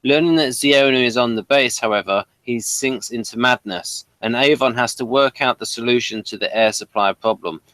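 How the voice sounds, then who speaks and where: A man's voice: mid-range voice, moderate pace, few pauses, fairly bright tone, dry recording. A man hosting a review show, reading out summaries and putting questions. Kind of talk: reading an episode synopsis, then prompting discussion.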